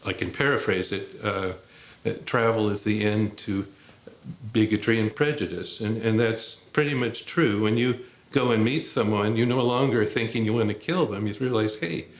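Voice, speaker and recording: A man's voice: lowish voice, moderate pace. Plainspoken man in an echoey room. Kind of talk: documentary interview. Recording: roomy echo.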